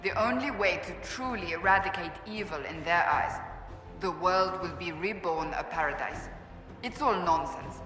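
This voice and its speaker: A woman's voice: lowish voice, moderate pace, music in the background, lightly acted. A woman playing a stern, righteous warrior in a video game, blunt, serious and formal in her delivery. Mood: stern, disdainful. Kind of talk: video game character dialogue.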